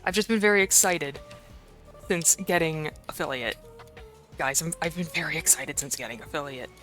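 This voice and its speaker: Slightly hoarse woman streamer. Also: low voice, normal speed, fairly bright tone, soft background music. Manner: rambling and playful, talking to chat. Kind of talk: casual live-stream chat.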